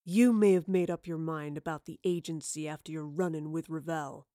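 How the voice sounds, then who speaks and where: A woman voicing a coldly scornful, arrogant male villain in a low, hushed, menacing voice for an audiobook. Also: fairly even intonation, no echo, clean studio sound, lightly acted. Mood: quiet threat. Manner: slow, menacing, contemptuous.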